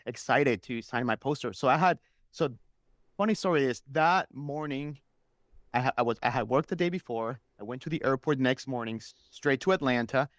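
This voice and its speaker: A man's voice: medium pitch, moderate pace, dry recording. A man whose first language is Spanish, chatting enthusiastically and casually as a guest telling stories on a podcast. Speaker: interview guest, superfan.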